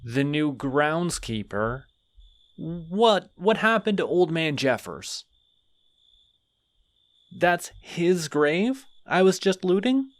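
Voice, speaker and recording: A man's voice: on the high side, very animated, takes it slowly, long pauses, dry recording. A man voicing a friendly, chatty male skeleton who rambles sheepishly in an audio roleplay. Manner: rambling, sheepish, talks to himself.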